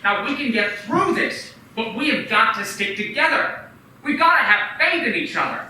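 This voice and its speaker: Seorang pria memerankan bankir muda kota kecil yang tulus dan mendesak, dengan nada memohon yang hidup. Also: male voice, extremely high pitch, moderate pace, a little hiss or hum, heavily acted. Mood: pleading. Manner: pleading, urgent, animated. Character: earnest young male small-town banker. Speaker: radio play actor. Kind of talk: community radio play acting. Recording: echoey room, far from the mic.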